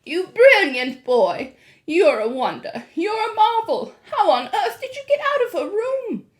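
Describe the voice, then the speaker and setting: A woman's voice: very high-pitched, measured pace, pitched above the performer's own voice, full character voice. Woman doing a cool-headed old grandmother. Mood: relieved. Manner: cool, steady, affectionate. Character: calm, loving elderly grandmother.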